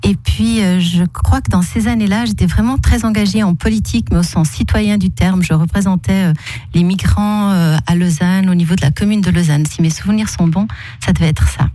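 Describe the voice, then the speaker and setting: Woman around 60 from portugal, slow thinking talk, soft dark voice, dry studio mic. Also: mid-range voice, dry recording. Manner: thoughtful, searching memory, many hesitations. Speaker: library director, radio guest. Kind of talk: casual radio interview. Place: radio studio. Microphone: studio microphone.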